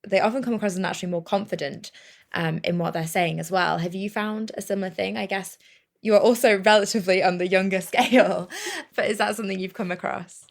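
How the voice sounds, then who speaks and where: A woman's voice: medium pitch, steady tone, quick pace, vocal fry. A young adult woman hosting a podcast, chatting casually and enthusiastically with her guest. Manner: chatty, enthusiastic, many fillers. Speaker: podcast host. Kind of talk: casual interview chat.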